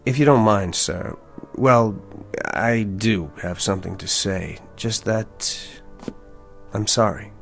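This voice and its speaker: Persuasive suitor with creaky voice. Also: male voice, fairly low pitch, slow, soft background music. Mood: contrite, pleading. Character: persistent, calculating suitor bargaining with his sweetheart's father. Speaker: actor in a television drama. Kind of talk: scripted TV drama acting.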